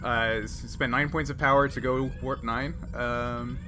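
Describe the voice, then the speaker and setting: A man running a tabletop game as game master, narrating with many pauses and restarts. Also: mid-range voice, steady tone, sharp tone, a little hiss or hum. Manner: hesitant, many ums and restarts.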